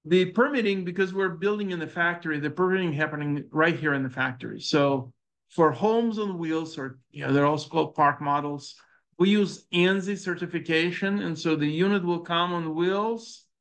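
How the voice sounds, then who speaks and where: A middle-aged man with a Ukrainian accent giving a startup presentation, halting and full of fillers and restarts. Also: on the high side, a bit bright. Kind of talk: conference presentation with audience questions.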